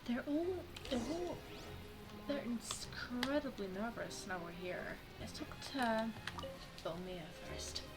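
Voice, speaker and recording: A woman's voice: a little high, lively intonation, slow pace, music in the background, poor audio. A woman playing a game, casually commenting and reading lines aloud. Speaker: player reading along with a game.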